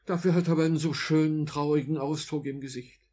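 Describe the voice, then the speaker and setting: A man's voice: on the high side, fairly even intonation, fast talker, mellow, natural voice. A man playing a henpecked, haggling undertaker in a 1950s German radio play, speaking in a hesitant, coaxing, mild manner. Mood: placating, coaxing.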